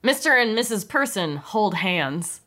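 A woman's voice: mid-range voice, big pitch swings, unhurried, fairly bright tone. A woman reading stage directions in an amused way as the narrator of a comedic script table read.

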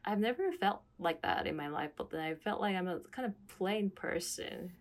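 A woman's voice: fairly low pitch, expressive. A young woman from South Korea, a native Korean speaker, talking casually and reflectively while searching for her words. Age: young adult. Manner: halting, searching for words, frequent "like". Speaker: conversation partner.

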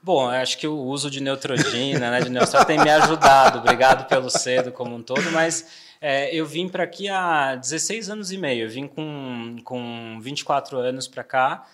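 A man in his forties from Bahia, with a Bahian accent worn smooth by years in São Paulo. He talks through his career in a loose, conversational way as a guest on a business podcast. His pitch sits in the middle of the range, and he leans on fillers and quick "né?" tags. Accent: Bahian Portuguese softened by São Paulo. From Bahia, Brazil. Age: 40s. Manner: relaxed, frequent fillers and tag questions. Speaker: trade marketing director guest.

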